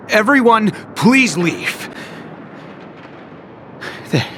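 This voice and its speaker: Flustered guard, swooping pitch. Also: male voice, a little hiss or hum. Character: weary, flustered male security underling, stubborn yet pleading. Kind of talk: anime game voice acting. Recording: loud game music behind. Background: loud game music.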